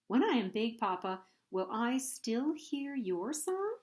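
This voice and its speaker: A woman's voice: fairly high pitch, sing-song, takes it slowly, voice pushed up. A woman voicing a small, curious young whale child in a storybook, asking and calling out eagerly.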